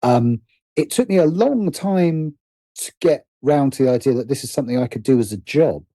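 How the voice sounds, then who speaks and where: A man's voice: medium pitch, lively intonation, normal speed, warm tone, no echo, low-quality recording. A middle-aged British man talking casually and reflectively as an interview guest, hesitant and self-deprecating as he thinks aloud. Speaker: podcast guest, writer and producer.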